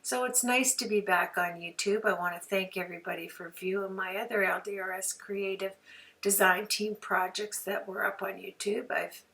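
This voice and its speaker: A hoarse woman talks through a craft tutorial in a chatty, folksy way. Her voice has a gravelly fry under a bright, sharp edge, and she sits a little back from the mic. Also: medium pitch, fairly even intonation, normal speed, far from the mic, poor audio. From Canada. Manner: chatty, folksy, homey phrases. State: hoarse from flu. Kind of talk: card-making tutorial narration.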